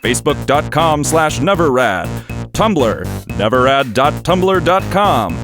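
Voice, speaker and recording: A man's voice: fairly high pitch, very animated, measured pace. A man reading scripted podcast credits as an announcer. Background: music.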